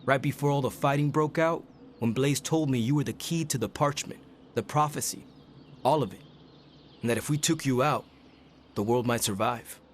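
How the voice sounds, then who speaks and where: An actor playing a stubborn, anxious young hero in a video game, with a defensive, sardonic way of talking. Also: male voice, mid-range voice, normal speed, pauses often, a little hiss or hum, close to an everyday voice. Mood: uneasy.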